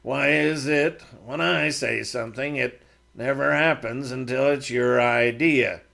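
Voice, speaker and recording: Stubborn frontiersman, slow gravelly grumble, read by a male narrator. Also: medium pitch, fairly even intonation, pushed and bright, full character voice. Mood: grumbling.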